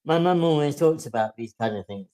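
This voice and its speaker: A man's voice: fairly high pitch, animated, brisk, mellow, natural voice. A man reading the part of an uneasy, bewildered driver in a supernatural screenplay, casual and puzzled. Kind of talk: screenplay table read.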